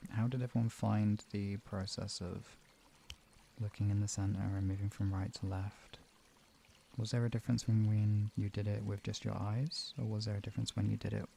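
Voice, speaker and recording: A man with a lowish, creaky voice chats casually as he hosts a live stream. He wanders through his thoughts and often leaves them unfinished. The tone is soft-edged and dark, with slight noise behind him. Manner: informal, rambling, trailing off mid-thought. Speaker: live stream host and relaxation guide. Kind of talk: casual, improvised live-stream chat with viewers.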